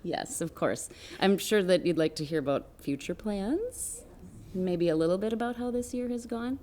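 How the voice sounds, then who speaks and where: A woman's voice: medium pitch, normal speed, mellow. An American woman giving an informal spoken introduction at a meeting, as a school staff member would. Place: meeting room at a school board session.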